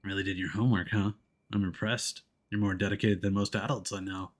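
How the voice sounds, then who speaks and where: A world-weary male detective acted out by a man reading a game, low in pitch and gruff in temper. He keeps things dry and terse, with a protective, understated seriousness. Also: little pitch movement, fairly quick, close to an everyday voice. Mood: dry, softened. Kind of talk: visual novel character voicing.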